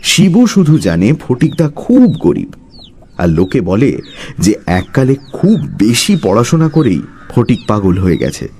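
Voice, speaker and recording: A man telling a story as a dramatic, scripted narration in a husky voice. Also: medium pitch, huge pitch swings, unhurried, mellow, slight background noise.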